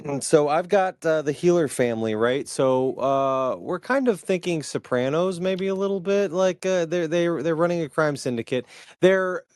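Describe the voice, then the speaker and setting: A man co-hosting a podcast in casual, profane chat, halting and circling back to rephrase. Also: fairly high pitch, a little mellow, clean tone, no room sound, clean studio sound. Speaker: podcast co-host.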